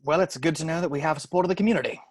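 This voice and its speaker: A man playing a stern, by-the-book police sergeant in a script table read, clipped and deadpan. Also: a little high, very fast, natural voice. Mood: stiffly formal. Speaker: amateur actor in an online table read.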